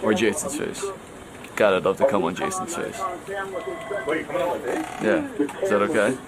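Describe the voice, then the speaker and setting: A man's voice: lowish voice, very animated, unhurried. A man answering interview questions casually, dry and noncommittal. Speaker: show staff member being interviewed. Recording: very noisy room, loud background chatter.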